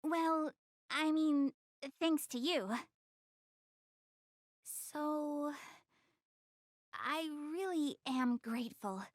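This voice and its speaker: A woman's voice: sits high, very slow, long pauses, no room sound, clean studio sound. A young woman playing a proud, easily flustered, cute anime goddess girl in a video game, speaking bashfully and defensively to the player. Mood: bashful, earnest. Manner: bashful, defensive, stammering when embarrassed.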